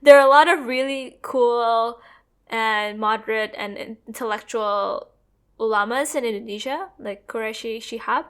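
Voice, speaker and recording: A woman's voice: fairly high pitch, moderate pace. A woman co-hosting a podcast, explaining politics in long, earnest stretches of casual talk. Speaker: podcast co-host.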